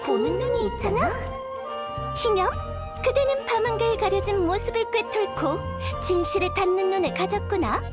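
A woman voicing a haughty, theatrical young princess in an anime game, speaking in grand, archaic phrases. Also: very high-pitched. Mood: imperious, approving. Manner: pompous, grand old-fashioned phrasing. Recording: music behind the voice.

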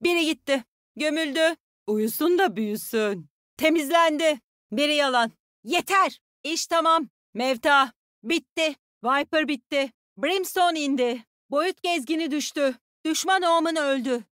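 A woman playing a tough, bossy young soldier who commands animals in a video game, with a brisk, commanding, teasing delivery. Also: high-pitched, fairly bright tone, no echo, studio quality. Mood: curt, satisfied. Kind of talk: video game character voice lines.

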